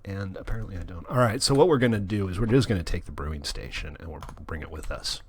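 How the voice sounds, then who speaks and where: A man's voice: sits low, expressive, quick pace, a little mellow, slight background noise. A man streaming a video game, chatting casually and reading chat aloud in a rambling, wry way full of fillers. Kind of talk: casual live-stream chat while playing.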